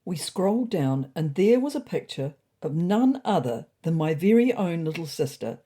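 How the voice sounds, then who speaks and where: Mellow, husky woman narrating with lively pitch. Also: normal speed. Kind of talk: scripted comic story narration.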